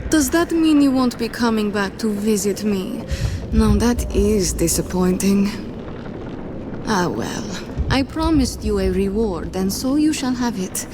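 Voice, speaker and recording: A woman's voice: medium pitch, expressive, unhurried, background noise, close to an everyday voice. An actress playing a genteel lady of a manor in a video game, polished, condescending and playfully courteous. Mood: mock-gracious. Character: genteel, coyly mocking lady of a gloomy manor. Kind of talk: video game character acting.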